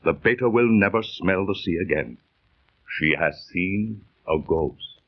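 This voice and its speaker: Excitable landsman adventurer, 1930s radio drama, animated pitch, slightly rough voice, dulled vintage sound. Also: a little slow, full character voice. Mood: haunted, grave. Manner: dramatic, storytelling delivery. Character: excitable landsman adventurer, haunted by a ghost ship. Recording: muffled, husky-sounding old 1930s radio recording.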